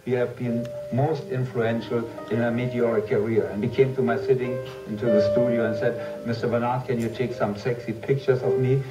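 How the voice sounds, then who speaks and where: A man's voice: medium pitch, little pitch movement, moderate pace, few pauses, distant mic. An older man, a photographer, recalling his work in an interview. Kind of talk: interview recollection. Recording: muffled film playback in echoey theater. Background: loud music.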